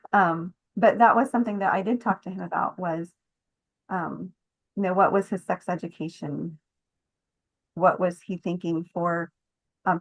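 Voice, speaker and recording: A middle-aged woman giving personal testimony as a podcast guest in conversation. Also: on the low side. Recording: muffled, slightly echoey room sound.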